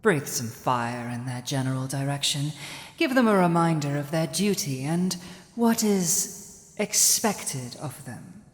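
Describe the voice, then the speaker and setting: A woman playing a villainous, protective, domineering dragon ruler in an ASMR roleplay drama, commanding and deliberate. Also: low-pitched, animated. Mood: grudging, menacing. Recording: echoey hall, long reverb.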